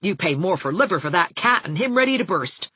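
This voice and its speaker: Indignant housekeeper woman voiced by a female narrator, folksy and scolding, animated delivery, roomy narrow audiobook sound. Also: lowish voice, brisk, husky. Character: indignant, blunt housekeeper woman, anguished and bossy. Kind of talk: audiobook character voice.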